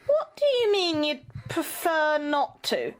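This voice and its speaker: Bossy, cutting female passenger woman acting, radio play; very high, animated, takes charge. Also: takes it slowly, exaggerated voice. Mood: exasperated. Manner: stern, cutting.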